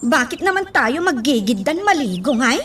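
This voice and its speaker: A woman acts as a playful, outspoken young woman in a radio drama. Her voice is extremely high and animated, coy yet direct. She sounds slightly distant from the microphone. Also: normal speed. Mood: reluctant.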